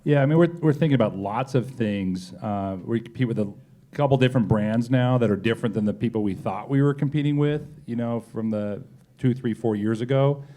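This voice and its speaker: A man's voice: mid-range voice, brisk, warm tone. A man speaking as a company executive in a live interview, conversational and sprinkled with "kinda" and "you know". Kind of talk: live panel interview answers. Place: on stage at a live pub talk event.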